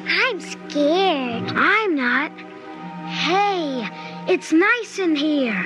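An actor playing an excitable, curious young boy in an old radio drama. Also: high-pitched, extremely slow, full character voice. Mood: excited. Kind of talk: 1950s radio drama acting. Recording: old radio recording with music behind.

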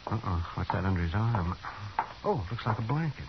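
An American actor playing a garrulous, folksy older town doctor in a 1950s radio Western, excitable and rambling. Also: male voice, lowish voice, moderate pace. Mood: wary. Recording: muffled, hissy 1950s radio recording.

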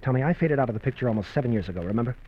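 An actor playing an anxious, scheming ex-convict husband in an old radio crime drama, earnest and persuasive. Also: male voice, mid-range voice, animated, very fast, lightly acted. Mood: urgent persuasion. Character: anxious ex-convict husband living under an assumed name, scheming. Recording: muffled old radio recording.

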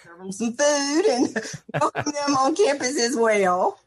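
A woman's voice: high voice, animated, a little slow. A woman, a spirit program coordinator, answering interview questions in a chatty, upbeat way with many fillers.

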